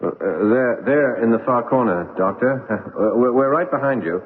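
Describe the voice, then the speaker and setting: An actor playing an earnest, uneasy, well-bred gentleman heir, polite and hesitant, in an old-time radio drama. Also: medium pitch, normal speed, slightly rough, close to an everyday voice. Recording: muffled narrow-band old radio recording.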